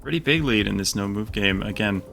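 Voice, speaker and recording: A man with a low, gravelly voice and a bright, forceful edge breaks down a live match as co-commentator. He reasons out loud and hedges his calls, with faint music behind him. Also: lively intonation, fairly quick. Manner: analytical, thinks aloud with hedges. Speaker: esports co-commentator.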